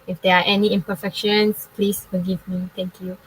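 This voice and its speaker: Young woman, from Malaysia, native Chinese speaker, polite measured reading, monotone, online forum panelist. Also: mid-range voice, slightly rough, poor audio. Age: young adult. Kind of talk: reading a prepared self-introduction.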